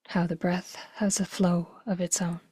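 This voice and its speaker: A woman leading a guided meditation slowly, in a gentle, hushed, breathy voice with long pauses. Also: on the low side, little pitch movement, no echo. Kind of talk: guided meditation, slow and spacious.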